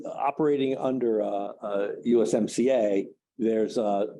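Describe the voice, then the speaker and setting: A man giving a seminar talk on trade, speaking haltingly with many fillers and restarts. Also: on the low side, mellow. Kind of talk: seminar talk, answering questions.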